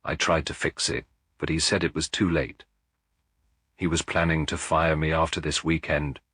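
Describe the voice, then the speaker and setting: A man voicing a remorseful, soft-spoken female employee in a story, pleading as she confesses. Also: extremely low pitch, flat delivery, moderate pace, breathy edge, no room sound, clean studio sound, exaggerated voice.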